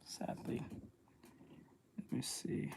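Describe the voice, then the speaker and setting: A woman artist chatting casually while she draws, reacting with quick exclamations and plenty of swearing. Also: whispered. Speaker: artist streaming while drawing. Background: music.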